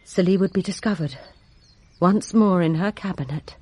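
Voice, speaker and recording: A woman's voice: fairly low pitch, normal speed, darkish tone, slightly rough. A woman playing a servant giving formal, deferential testimony in a radio drama, composed yet resentful of a rival. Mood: measured recounting. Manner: formal, deferential, precise storytelling.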